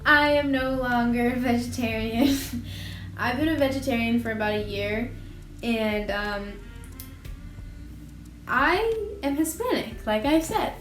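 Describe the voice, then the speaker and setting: Bubbly young woman, casual vlog host, slightly high voice, faint music underneath. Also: takes it slowly, pauses often, a bit bright. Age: young adult. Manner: bubbly, chatty, giggly. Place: home room. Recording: echoey room with faint music.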